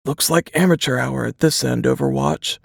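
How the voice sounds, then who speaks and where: A narrator voicing a weary, capable male field agent, speaking in a hushed, clipped way in a suspense audiobook. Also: mid-range voice, normal speed, gravelly, slightly rough, no room sound, clean studio sound. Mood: quiet, confident. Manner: hushed, clipped radio-protocol delivery.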